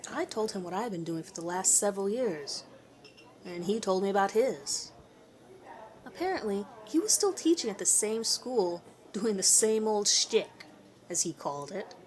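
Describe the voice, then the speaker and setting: A woman's voice: mid-range voice, animated, normal speed, darkish tone. A woman reading a scripted first-person story aloud as its narrator.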